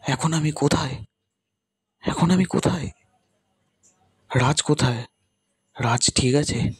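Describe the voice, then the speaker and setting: Casting: man performing frightened friend in a ghost tale, agitated, stammering, rough husky voice pushed higher. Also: full character voice. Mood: dazed, anxious. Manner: halting, pleading, agitated. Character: distraught, frightened young man pleading for his friend.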